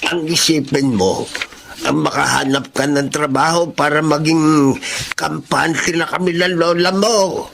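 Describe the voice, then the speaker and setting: A man playing a kindly, protective elderly grandfather in a Filipino radio drama, with a creaky voice and a gentle, halting manner. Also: fairly high pitch, steady tone, slight background noise. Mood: earnest.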